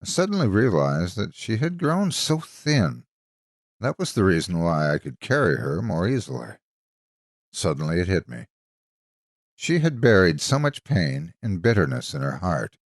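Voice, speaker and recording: Deep-voiced, expressive male storyteller. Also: normal speed, dry recording, clean studio sound. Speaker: story narrator and channel host. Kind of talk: dramatic scripted story narration.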